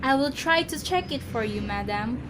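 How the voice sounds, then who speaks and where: Polite, high-voiced telephone operator played by a woman. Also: expressive, moderate pace, noisy background. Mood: polite, detached. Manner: formal, procedural. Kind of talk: amateur radio drama acting.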